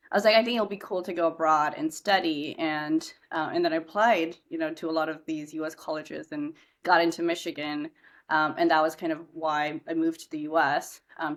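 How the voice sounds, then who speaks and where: A young woman from India, guest on a podcast, speaks with a notably low, deep voice. She talks in a loose, chatty way, full of "um"s and false starts. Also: fairly bright tone. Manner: chatty, many fillers and restarts. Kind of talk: casual podcast interview.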